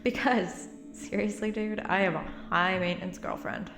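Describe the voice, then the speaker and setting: An actress playing an anxious, determined young woman in an audio drama, halting and earnest, recorded with roomy echo. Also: mid-range voice, steady tone, fairly bright tone, soft background music, close to an everyday voice. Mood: awkward, apologetic. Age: young adult. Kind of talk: naturalistic audio drama acting.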